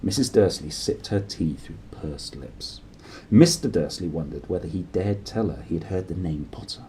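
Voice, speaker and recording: A man narrating a children's storybook aloud in an expressive style. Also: sits low, moderate pace, slight background noise. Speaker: storybook reader.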